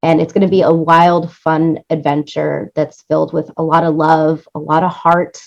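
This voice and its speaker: Female interview guest, lowish voice, effusive and emphatic with repeated phrases, on slightly muffled lo-fi audio. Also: flat delivery, normal speed, gravelly. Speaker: interview guest, music supervisor and producer. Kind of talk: enthusiastic interview conversation.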